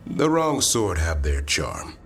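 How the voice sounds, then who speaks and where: Low-voiced, wry fantasy warrior. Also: male voice, very animated, slow, a bit bright, faint music behind, exaggerated voice. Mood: wry, relaxed. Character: wry, earnest male fantasy warrior of an honorable order.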